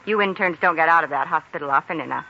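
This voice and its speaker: A woman's voice: sits low, quick pace, sharp tone, dull audio. An actress playing an affectionate, considerate young woman engaged to an intern in a 1940s radio drama. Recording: thin, noisy 1940s radio recording.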